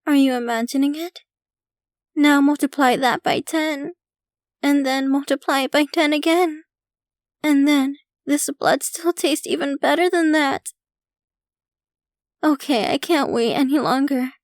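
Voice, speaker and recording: Actress as possessive vampire girlfriend for ASMR roleplay: slow, high female voice, theatrical and devoted. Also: dry recording, studio quality. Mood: delighted, gushing. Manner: theatrical, doting, gushing. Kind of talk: ASMR roleplay, scripted intimate monologue to listener.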